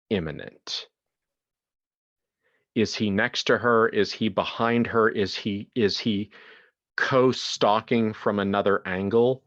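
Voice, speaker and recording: A man runs a horror tabletop game as its theatrical narrator. He speaks extremely slowly and deliberately, leaving long pauses, in a very deep voice. The sound is slightly dull and lo-fi. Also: a bit bright. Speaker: game master.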